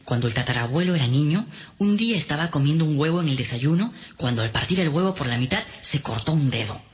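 An actor playing an eager, earnest citizen of a tiny kingdom who retells family lore in a radio drama. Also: male voice, fairly high pitch, lively intonation, quick pace, close to an everyday voice. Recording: dull, muffled sound.

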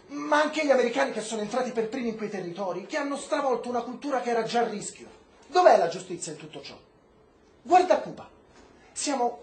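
An actor playing an impassioned Cuban who pleads against injustice in a rhetorical, declamatory stage style, with weighty pauses. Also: medium pitch, natural voice. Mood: bitter, earnest. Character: impassioned Cuban man urging journalist Ellen to fight injustice. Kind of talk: stage-style radio drama acting. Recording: echoey room, noisy background ambience.

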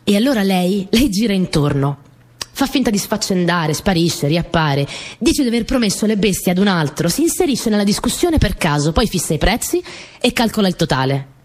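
A woman's voice: medium pitch, big pitch swings, moderate pace, darkish tone. Woman storyteller in a theatrical monologue. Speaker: stage actress performing a monologue. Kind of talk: theatrical first-person storytelling of rural childhood memories.

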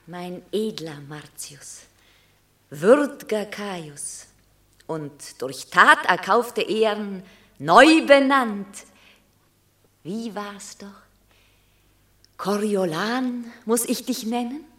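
An actress playing a proud, stern Roman mother of a war hero, speaking verse slowly and solemnly in a radio play. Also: mid-range voice. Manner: measured, solemn verse delivery. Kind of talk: classic radio play acting.

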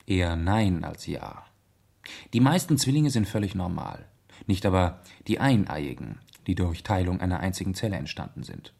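A shrewd gentleman detective in a radio play. His fairly low voice stays polite and measured, choosing words precisely while gently insinuating that he knows more. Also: animated, gravelly. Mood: explanatory. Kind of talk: German radio play acting.

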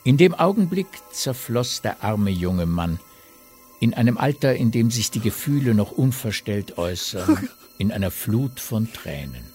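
Guy narrating a literary radio drama, lowish voice, faint music under him. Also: very animated, a little slow, darkish tone.

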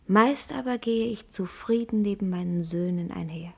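A woman's voice: mid-range voice, moderate pace, gravelly, dark tone, slightly rough. An actress playing a prematurely grey, contented country mother, speaking a whispery monologue in a literary radio play. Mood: pensive, contented.

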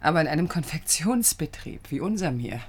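An actress playing a proud, sharp-tongued young woman architect who probes and challenges in radio drama dialogue. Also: sits low, expressive, a little fast, a little hiss or hum. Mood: wounded, argumentative. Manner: challenging, probing, teasing.

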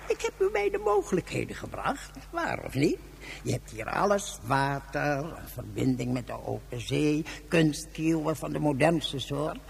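An actor playing a stubborn ageing hermit in a science fiction radio play, rambling and philosophising in gruff, folksy slang. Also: male voice, fairly high pitch, big pitch swings, a little slow, a little hiss or hum, full character voice. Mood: brisk, dismissive. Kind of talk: Dutch science fiction radio drama acting.

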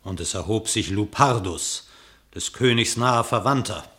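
A man narrating a verse fable for a radio drama with measured, formal diction. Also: fairly low pitch, sharp tone.

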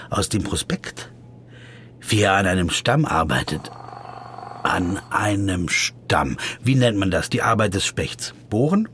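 Brooding German man, low-voiced, animated. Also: a little hiss or hum. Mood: puzzled, searching. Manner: musing, pedantic about words, addressing himself. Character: wordy, brooding German legal counsel lost on a trip. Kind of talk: literary radio play, inner monologue.

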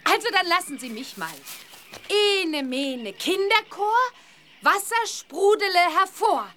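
A woman's voice: very high voice, expressive, unhurried, sharp tone, heavily acted. A woman voicing a cheeky, fearless young witch girl in a children's audio play, with a confident manner.

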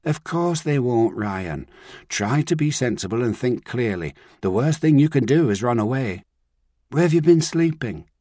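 A man puts on a composed older woman, a grandmother who keeps her voice steady and measured. Her voice sits a little higher than his own, and the sound is close and dry, with no room around it. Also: no room sound, natural voice. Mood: calm, firm. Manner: calm, measured, reassuring.

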